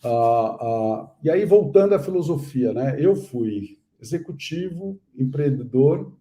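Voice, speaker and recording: A middle-aged Brazilian man talking as a guest in a casual live interview. His voice is very dark and mellow at a middle pitch, and he speaks earnestly, restarting his phrases often. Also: expressive, a little slow. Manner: earnest, many fillers and restarts. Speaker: guest investor.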